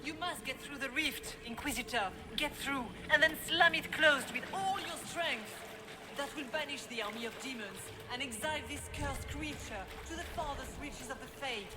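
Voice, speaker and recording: An actress playing a serene, noble spiritual leader in a fantasy video game, calm, gracious and measured. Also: female voice, high voice, heavy background noise, natural voice. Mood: resolute. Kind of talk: dramatic video game acting. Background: loud music.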